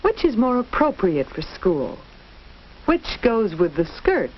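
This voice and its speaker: A woman's voice: medium pitch, huge pitch swings, slow. A woman giving scripted, instructive narration in a 1940s educational film style, carefully enunciated. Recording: dull, noisy 1940s film soundtrack.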